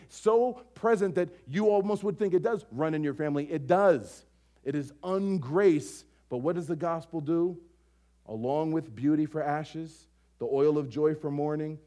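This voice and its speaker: A man's voice: on the high side, dark tone. An American man preaching a sermon as a pastor, emphatic, leaning on repetition and rhetorical questions. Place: church stage at a lectern, indoors.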